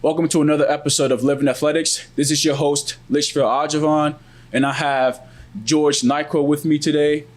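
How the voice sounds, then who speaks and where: A young man from West Africa hosting an interview, talking in a relaxed, loose way. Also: on the high side, normal speed. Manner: relaxed, laid-back, loose phrasing. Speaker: podcast host. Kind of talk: casual interview chat.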